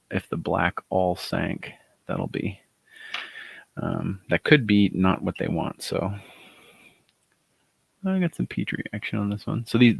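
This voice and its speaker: A middle-aged man with a soft, gravelly voice, chatting casually and rambling on a live stream while showing handmade dice. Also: sits low, no echo. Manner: relaxed, rambling, many fillers. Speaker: author hosting a live chat.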